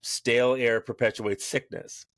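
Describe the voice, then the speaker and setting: A man speaking as a podcast guest and yoga teacher, frank and lively, laughing often as he talks. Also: medium pitch, measured pace, dry recording. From United States. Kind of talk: candid conversational interview.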